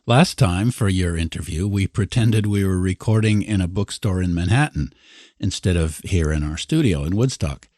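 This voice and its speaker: A man's voice: low-pitched, animated, moderate pace, a little mellow, dry recording. A man co-hosting a podcast with light, scripted banter, recorded in a studio. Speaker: podcast co-host.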